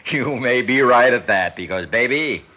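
An actor playing a paunchy, cigar-smoking sports promoter in a 1960s radio drama, persistent and full of slangy sales patter. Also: male voice, mid-range voice, animated, a little slow, lightly acted. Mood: amused, eager. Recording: muffled, slightly noisy old radio recording.

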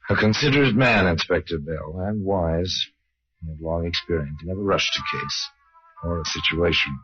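A man performing as a wry, ominous storyteller in an old-time radio mystery, speaking with deliberate theatrical irony. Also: low-pitched, faint music behind, natural voice. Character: wry, ominous older male storyteller of true crimes. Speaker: narrator and host of a crime anthology. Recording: dull, noisy old radio recording.

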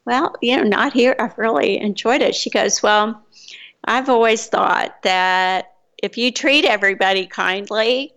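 A woman's voice: fairly high pitch, fairly bright tone. A woman with a Texas accent, an American living abroad, chatting casually in a rambling way with fillers and restarts. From United States. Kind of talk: casual chat.

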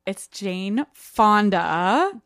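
A woman's voice: mid-range voice, expressive, extremely slow, a bit bright, dry recording, clean studio sound. A woman co-hosting a podcast, recapping a book in an informal, playful chat. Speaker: podcast co-host.

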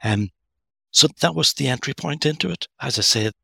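A middle-aged man from Ireland, speaking as a guest author in a podcast interview, thoughtful and halting with frequent restarts. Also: medium pitch, lively intonation, vocal fry, sharp tone, husky, no room sound, studio quality. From Northern Ireland.

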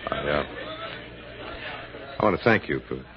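A man's voice: very deep voice, expressive, a crowd in the background. An actor playing an uneasy, evasive American man with something to hide in a 1960s radio thriller. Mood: meek, hesitant. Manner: hesitant, halting, evasive. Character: uneasy male actor hiding guilt, turning defiant. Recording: dull old radio broadcast, background din.

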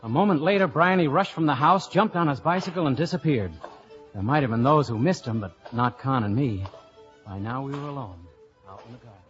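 An actor playing a breezy, skeptical young American in a 1950s radio drama. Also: male voice, mid-range voice, animated, a little fast, close to an everyday voice. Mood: wry storytelling. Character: breezy, skeptical young visitor wooing a girl. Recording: muffled old radio recording with music.

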